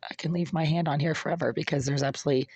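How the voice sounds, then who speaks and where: A vlogger with a hoarse, raspy voice that cracks and breaks, ranting in an aggrieved way from a cold apartment. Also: mid-range voice, steady tone, very fast, darkish tone, dry recording, lo-fi sound. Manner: aggrieved, ranting, insults critics. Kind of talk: casual complaint vlog.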